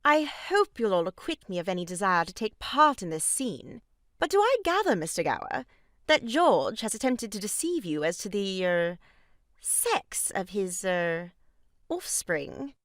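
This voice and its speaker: A woman's voice: medium pitch, very animated, unhurried, a bit bright, no echo, clean studio sound. An actress playing a prim, moralising married lady, reproving and emphatic, in a period farce audio drama. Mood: shocked, probing.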